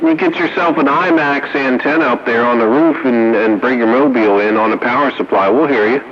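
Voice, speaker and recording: A man's voice: mid-range voice, fairly quick. A man chatting casually as an operator on CB radio. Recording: dull CB radio, loud chatter behind.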